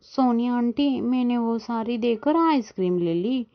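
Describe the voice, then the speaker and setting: A woman's voice: fairly high pitch, animated, measured pace, pitched above the performer's own voice. A woman voicing a cheeky, wheedling little boy bird in a children's cartoon, childlike and pleading. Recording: dull lo-fi sound.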